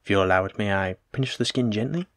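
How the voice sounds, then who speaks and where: Old-world plague physician , male actor: low register, formal, polite, wordy, reassuring, close dry roleplay audio. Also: fast talker, a bit bright, no room sound. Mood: gently attentive. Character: courteous, learned male plague doctor of olden times. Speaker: physician examining a patient. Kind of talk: soft-spoken roleplay audio drama, addressing the listener.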